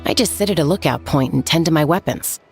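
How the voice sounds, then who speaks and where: A woman playing an easygoing, candid young female warrior in a video game, with a creaky edge to the voice. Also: low voice, quick pace. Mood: laid-back. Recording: faint game music behind the voice.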